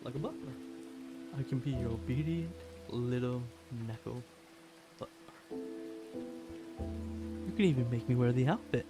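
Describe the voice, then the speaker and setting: A man plays an obsessively devoted stray catboy in an ASMR roleplay. He speaks slowly at a medium pitch, in halting, pleading phrases, submissive and clingy toward the listener. Prominent music and rain run behind the voice. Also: natural voice. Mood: timid, pleading.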